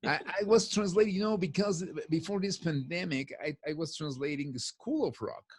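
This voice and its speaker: A man's voice: a little high, brisk, breathy edge, no room sound. Talkative middle-aged man. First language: Spanish. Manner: talkative, piles up restarts. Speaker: guest. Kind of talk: casual podcast chat. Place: home office. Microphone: headphones on a video call.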